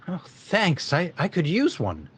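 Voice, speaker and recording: An actor playing a shaken factory owner in a 1940s-style radio drama, talking in a hesitant, stammering way. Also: male voice, a little high, wildly swooping pitch, unhurried, a little hiss or hum. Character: shaken middle-aged male factory owner robbed of payroll.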